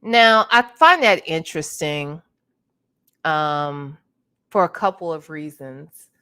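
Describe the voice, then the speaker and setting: A woman hosting a casual livestream, chatting with her viewers while sounding congested and clearing her throat now and then. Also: low voice, lively intonation, slow, bright, forceful tone. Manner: chatty, greets viewers by name. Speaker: livestream host.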